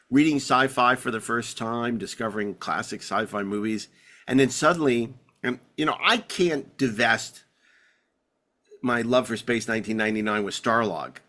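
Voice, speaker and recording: A man's voice: medium pitch, pushed and bright. A middle-aged American man hosting a podcast, chatting casually and enthusiastically in a rambling way. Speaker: podcast host. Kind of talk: casual enthusiastic fan chat.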